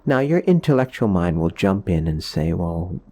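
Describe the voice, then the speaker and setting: A man leading a guided meditation in a soothing, gentle, explanatory way, leaving pauses between phrases. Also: low voice, big pitch swings.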